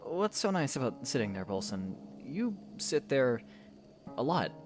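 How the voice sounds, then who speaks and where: A man's voice: medium pitch, sing-song, measured pace. A man playing a reserved, politely exasperated young hero in a fan audio drama, speaking in a dry, understated, guarded way. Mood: dry, strained politeness. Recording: music clearly under the voice.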